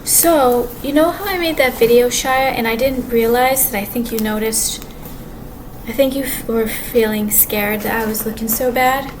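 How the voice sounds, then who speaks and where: A quiet-voiced woman talks to camera in a loose, rambling way, often stopping and restarting her sentences. Her voice sits a little high. Steady room ambience hums clearly behind her. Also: poor audio. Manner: rambling, halting, many restarts and fillers. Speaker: vlogger. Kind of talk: casual monologue to camera. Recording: clear background ambience noise.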